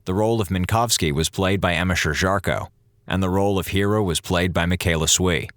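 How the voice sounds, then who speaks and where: A man's voice: deep voice, fairly quick, fairly bright tone, dry recording, clean studio sound. A man working as an announcer, reading scripted closing credits for an audio drama. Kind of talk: scripted narration.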